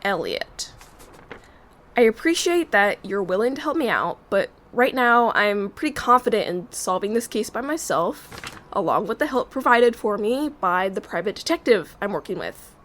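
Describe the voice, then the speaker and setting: A woman's voice: medium pitch, big pitch swings, moderate pace, fairly bright tone. A woman acting in an audio drama as a young night security worker, reserved and hesitant but firm. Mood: polite, firm. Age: young adult.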